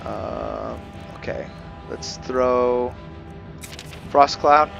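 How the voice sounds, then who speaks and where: A man's voice: medium pitch, extremely slow, vocal fry, muffled recording. A man doing casual commentary over a roleplay video game, muttering short reactions and talking to his viewers. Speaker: gaming video host playing and commentating. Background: game music.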